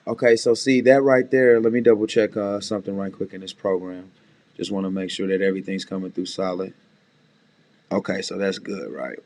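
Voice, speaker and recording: A man with a deep voice, a music producer casually talking beginners through mixing, often saying "you know what I'm saying". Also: fairly even intonation, brisk, darkish tone, no echo. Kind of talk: casual screen-share tutorial.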